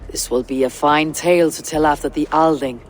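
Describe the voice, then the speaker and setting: Woman playing a steady, blunt Viking warrior. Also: sits low, brisk, a little hiss or hum, natural voice. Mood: firm, grave. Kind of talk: dramatic video game acting. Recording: music behind the voice.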